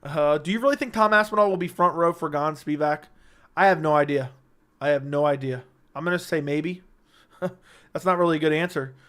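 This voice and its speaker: Slightly raspy man reading chat. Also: a little high, a little fast, a bit bright. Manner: joking, reads chat questions aloud and answers them. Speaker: livestream host reading chat. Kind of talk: casual livestream chat.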